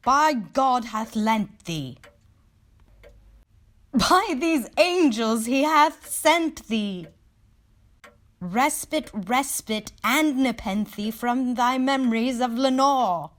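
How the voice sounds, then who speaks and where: A woman's voice: fairly high pitch, expressive, very slow, voice pushed up. A woman playing a despairing, grieving scholar in a posh British accent, theatrical and impassioned in a dramatic poem reading. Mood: anguished rage. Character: grief-stricken, despairing scholar raging at an ominous bird.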